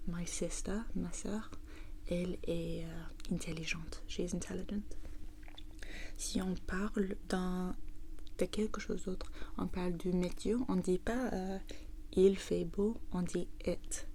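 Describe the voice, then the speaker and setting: Slow, whispering woman with English accent. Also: on the low side, a little hiss or hum. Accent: English-speaking. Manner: mostly whispering, gentle step-by-step explaining. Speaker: language teacher in an ASMR video. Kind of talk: whispered ASMR lesson.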